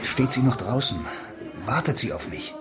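An actor playing a lonely, wistful noir romantic who narrates his own story in a measured, deadpan way for a radio play. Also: medium pitch, music in the background, lightly acted. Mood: quietly smitten. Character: blind lonely bank clerk, film-noir romantic, dry and wistful. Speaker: first-person narrator and lead.

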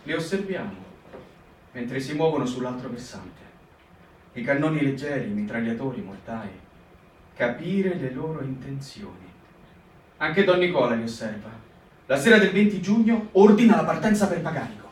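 A man's voice: medium pitch, sing-song, moderate pace, a little hiss or hum. A man giving a performed literary reading, telling the story expressively and dramatically. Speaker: reader. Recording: roomy live stage, distant mic. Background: guitar music.

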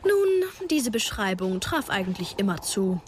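A woman's voice: medium pitch, big pitch swings, a little slow, slight background noise. A woman narrating a comic fantasy radio drama in a wry, scripted storytelling style.

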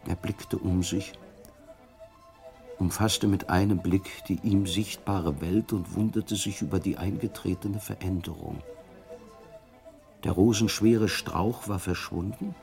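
A man narrates a radio play in a grave, hushed tone, slow and gravelly with a lowish voice. Soft music lies faintly behind him.

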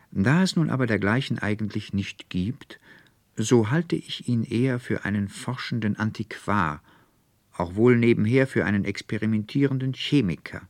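A man voicing a courteous, verbose registrar in a storybook, formal, deliberate and emphatic. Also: fairly low pitch, no room sound, voice pushed up. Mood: confiding. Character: earnest, verbose male registrar, courteous and bureaucratic.

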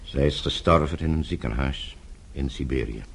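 An actor playing a weary, bitter older politician from behind the Iron Curtain in a radio play, brooding and confessional. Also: male voice, very deep voice, moderate pace, a little hiss or hum. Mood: weary, guilt-ridden. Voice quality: gravelly, husky. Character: weary, bitter older Eastern Bloc politician, guilt-ridden. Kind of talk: radio drama acting.

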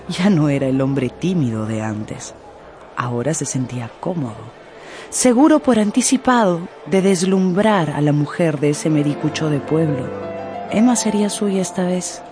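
A woman's voice: sits low, animated, unhurried, a little mellow. A woman as narrator, giving a dramatic scripted literary narration for a radio drama. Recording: music under the narration.

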